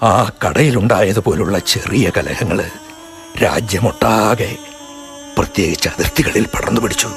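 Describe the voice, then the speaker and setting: A man playing a sober, peace-minded older Sikh man in a Malayalam radio drama, speaking in a grave, measured way and also narrating. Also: fairly low pitch. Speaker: narrator and Chani Singh, a Sikh elder.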